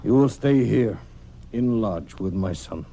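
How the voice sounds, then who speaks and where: Stern proud chief in old radio western, clipped formal broken english, low voice, bit noisy recording. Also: male voice, lively intonation, a little slow, mellow. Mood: stern, wary.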